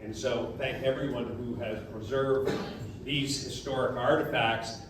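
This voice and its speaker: A man's voice: mid-range voice, fairly even intonation, measured pace. A man speaking as a guest, giving an informal speech of thanks indoors at a museum. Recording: very echoey room, distant mic, faint noise.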